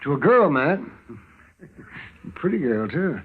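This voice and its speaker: Lively, wry frontier doctor. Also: male voice, fairly high pitch, a little slow. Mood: amused, teasing. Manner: wry, teasing. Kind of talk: 1950s radio western acting. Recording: muffled, noisy old radio broadcast.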